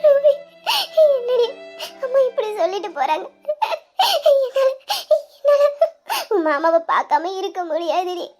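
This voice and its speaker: A woman's voice: very high voice, sing-song, measured pace, long pauses, warm tone, lo-fi sound, soft background music, full character voice. A woman voicing a lovesick young woman who sobs and pleads to her younger sister. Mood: tearful, longing. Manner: sobbing, pleading, breaking into tearful laughs. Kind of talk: dramatized audio story.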